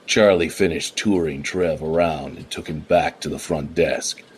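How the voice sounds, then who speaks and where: A man narrating a noir radio play in a measured, even storytelling way. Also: a bass voice, slight background noise. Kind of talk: scripted narration.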